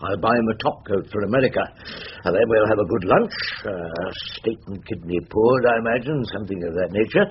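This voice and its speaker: A man's voice: on the low side, a little fast, natural voice. An older man with an English accent acting a wry, garrulous old barrister in a radio drama, rambling theatrically with dry irony. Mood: breezy. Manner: rambling, theatrical, drily ironic. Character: elderly, wry, garrulous English barrister, poetry-quoting and irreverent. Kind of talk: radio drama acting with first-person narration. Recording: dull, noisy radio drama recording.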